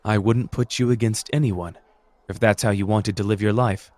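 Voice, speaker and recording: A man playing a suave renegade sorcerer in an anime audio roleplay, speaking in a measured, formal, gently persuasive and manipulative way. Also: fairly low pitch, fairly even intonation, dry recording, natural voice. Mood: smooth persuasion.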